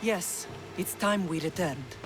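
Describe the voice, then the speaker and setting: A woman's voice: fairly low pitch, expressive, slow pace. A woman voicing a proud, composed mature mother in a video game. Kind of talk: dramatic video game dialogue. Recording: game soundtrack music behind the voice. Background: music.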